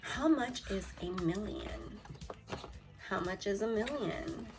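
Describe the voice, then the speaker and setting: A woman with an American accent teaching a read-aloud lesson to young children, expressive and speaking straight to her pupils. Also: mid-range voice, takes it slowly, noisy background. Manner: animated, expressive, addressing pupils directly. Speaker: elementary school teacher.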